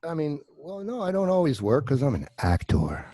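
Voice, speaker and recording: A man in his fifties with a low voice, a guest actor chatting casually, rambling and joking with plenty of swearing, on a video call with a desk mic at home. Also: wildly swooping pitch, moderate pace, mellow, no echo. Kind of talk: casual interview chat. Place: home room. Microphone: desk microphone on video call.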